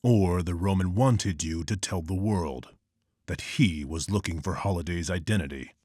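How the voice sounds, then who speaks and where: Guy doing a dark brooding vigilante, low husky voice, clipped and grim. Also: moderate pace, no room sound, clean studio sound. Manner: terse, clipped and somber. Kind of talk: comic narration.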